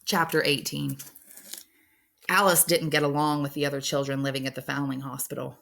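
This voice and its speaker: Low-voiced woman reading a novel aloud. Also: little pitch movement, a little fast, gravelly, fairly bright tone. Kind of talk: storybook narration, read aloud.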